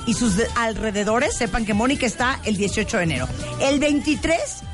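A Mexican woman hosts with playful, teasing banter. Her voice sits fairly high and she likes to order people around, with music clearly behind her. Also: quick pace. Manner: bossy, teasing. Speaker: programme host.

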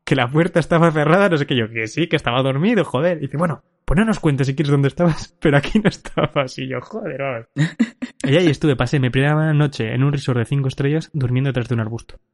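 Guy rattling off a funny story, talks quick, laughs a lot. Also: mid-range voice. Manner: laughs often, lively anecdote telling with swearing. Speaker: podcast host. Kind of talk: casual chat, storytelling.

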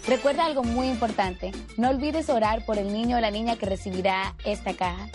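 A woman's voice: fairly high pitch, a little fast. A woman from the Republic, presenting a scripted instructional charity video. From Dominican Republic. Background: background music.

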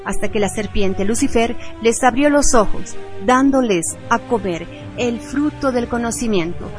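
A woman reading a scripted radio narration in a solemn, deliberate manner. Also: fairly high pitch. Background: music.

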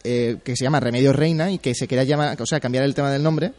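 A man's voice: medium pitch, fast talker, a little mellow, no echo. A man with an accent from Spain, chatting casually and teasingly as a radio morning show host doing prank calls. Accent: Spanish from Spain. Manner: teasing, colloquial. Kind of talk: prank call patter, casual radio chat. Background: music.

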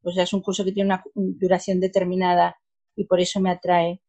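Woman, mellow voice, explanatory, teacher. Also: on the low side, monotone, brisk. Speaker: Spanish teacher and examiner. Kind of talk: casual group discussion.

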